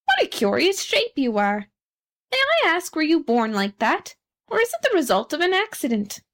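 A woman's voice: sits high, huge pitch swings, normal speed, a bit bright, voice pushed up, heavily acted. A woman voicing a placid, practical female duck from a storybook, with a peaceable, matter-of-fact manner. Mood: curious. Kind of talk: storybook character reading.

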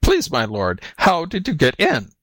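A man's voice: on the high side, wildly swooping pitch, a little slow, a bit bright, dry recording, pitched above the performer's own voice, exaggerated voice. A sly storybook jackal, put on by a man, with a raised, swooping voice. It plays the fretful, muddled simpleton, exclaiming in flustered confusion. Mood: flustered, feigned distress. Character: sly jackal feigning muddled, whimpering stupidity. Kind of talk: storybook character voice.

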